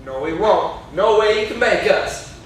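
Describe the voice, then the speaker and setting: Young man as a brash high-voiced dog-fight promoter. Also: expressive, unhurried. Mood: defiant. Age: young adult. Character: defiant, cocky backwoods male dog-fight promoter. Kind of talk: amateur stage play acting. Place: classroom, indoors. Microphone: far camera. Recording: echoey classroom, distant camera mic, noisy.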